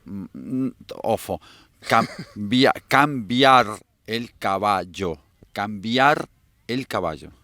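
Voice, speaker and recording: A polo player, a Spanish speaker, teaching Spanish phrases in a blunt, teasing way. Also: male voice, fairly low pitch, slow, sharp tone, no room sound, studio quality.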